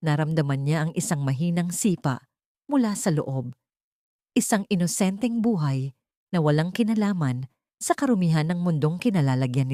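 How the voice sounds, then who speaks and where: A woman narrating a dramatic audiobook in a steady, somber storytelling manner. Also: low-pitched, dry recording, studio quality.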